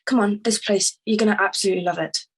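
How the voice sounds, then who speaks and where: A woman's voice: mid-range voice, fast, husky, recorded from a distance. A woman acting a confident, slinky female con artist at a screenplay table read, with a teasing, self-assured manner. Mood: flirtatious, sly.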